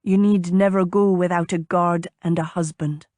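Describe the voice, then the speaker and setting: A woman voicing a courteous, gallant gentleman suitor in an audiobook, measured and formal in manner. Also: on the low side, fairly even intonation, dry recording, natural voice. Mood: ardent. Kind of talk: audiobook character dialogue.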